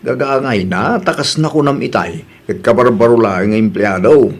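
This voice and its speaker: A reasonable husband with an Ilocano accent, acted by a man. His mid-pitched voice is matter-of-fact and mildly chiding, in comedy-drama style. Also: moderate pace, close to an everyday voice. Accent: Filipino, Ilocano.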